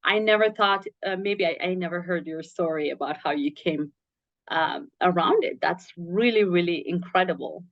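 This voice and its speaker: A woman's voice: lowish voice, moderate pace, no echo. A woman hosting a casual interview, responding in an appreciative, reflective way, recorded in slightly dull, lo-fi audio. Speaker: interview host.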